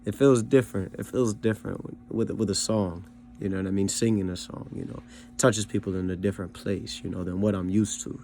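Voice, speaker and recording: A man's voice: fairly low pitch, creaky, mellow, a little hiss or hum. A young man casually recapping his karaoke shows in a laid-back, slangy way, full of fillers like "you know what I mean". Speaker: rapper learning to sing, vlog host.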